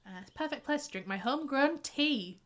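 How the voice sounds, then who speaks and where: A woman's voice: high voice, lively intonation, a little fast, slight background noise, voice pushed up. A woman reading out a friendly middle-aged village woman's game dialogue in a plain voice. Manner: plain read-aloud dialogue.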